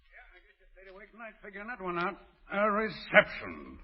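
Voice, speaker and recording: A man's voice: high voice, huge pitch swings, brisk. A male actor playing a tough, slangy New York gangster boss with an American accent in an old radio drama. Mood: irritated, threatening. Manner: brash, slangy, blustering. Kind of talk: 1930s-40s American radio drama acting. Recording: muffled, dull vintage radio recording.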